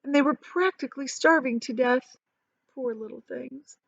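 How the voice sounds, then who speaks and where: A woman voicing an eccentric, proud and excitable male chocolate factory owner in a theatrical, emphatic storybook manner. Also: high-pitched, normal speed, gravelly, no echo, muffled recording, voice pushed up. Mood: dramatic, pitying. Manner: theatrical, emphatic, stretching key words.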